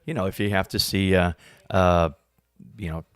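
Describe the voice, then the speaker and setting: A man, a stage actor being interviewed, speaking casually in an earnest, thoughtful, halting way. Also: deep voice, fairly even intonation, fairly bright tone, clear, resonant tone, dry recording.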